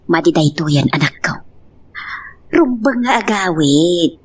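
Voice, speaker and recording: A woman acting a concerned mother of a grown daughter in an amateur drama, with a husky voice and Ilocano Filipino accent. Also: on the low side, animated, slow pace, darkish tone, exaggerated voice. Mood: concerned, gently probing.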